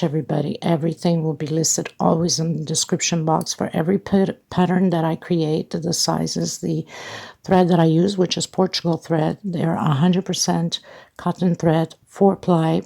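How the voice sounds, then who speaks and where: Woman with a heavily creaky, low voice. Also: little pitch movement, moderate pace, dark tone. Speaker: host of crochet tutorials. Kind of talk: casual spoken tutorial and channel announcements.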